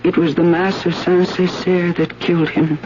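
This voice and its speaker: An actress playing an anguished, worn French woman doing penance, solemn and halting, in a 1970s radio drama. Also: on the low side, little pitch movement, background noise, natural voice. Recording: dull 1970s radio recording with music.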